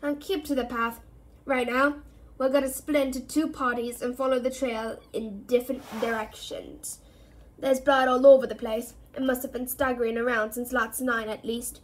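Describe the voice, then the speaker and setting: A woman acts out a gruff gamekeeper, a blunt storybook man with a protective streak. He growls his rustic phrases loudly and firmly. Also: on the high side, steady tone, moderate pace, close to an everyday voice. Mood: firm instruction. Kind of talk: storybook character reading.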